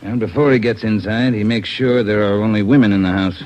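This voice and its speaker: An actor playing a grim, methodical police investigator, serious and measured, in a 1940s radio drama. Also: male voice, lowish voice, steady tone, a little mellow, lightly acted. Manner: measured, serious, matter-of-fact. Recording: muffled old radio recording, faint noise.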